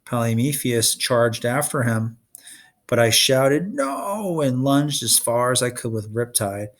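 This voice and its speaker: A man reading a children's fantasy novel aloud in expressive audiobook-style narration. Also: medium pitch, measured pace. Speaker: reader of a children's fantasy novel read-along.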